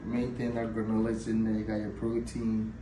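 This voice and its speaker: A man's voice: lowish voice, monotone, a little fast, background noise. A man from Ghana, talking casually and conversationally as a guest on a show. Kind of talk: casual conversational life story. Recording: echoey, distant mic, loud music behind.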